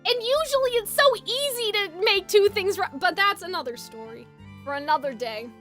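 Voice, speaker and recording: Streamer girl, super high bright voice, bit stuffed up, rambling casual opinions to chat, some music in the back. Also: animated, moderate pace. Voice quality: slightly congested, phlegmy. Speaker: game livestreamer. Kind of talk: casual livestream chat with viewers.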